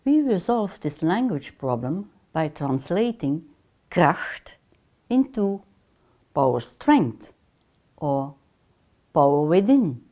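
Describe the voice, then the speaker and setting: An older woman with a Dutch accent, a native Dutch speaker, giving a measured, deliberate online course lecture while seated in a small room. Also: low voice, very animated, dark tone. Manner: measured, deliberate, gestures with her hands. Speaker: counseling lecturer. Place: small lamp-lit room, seated in armchair.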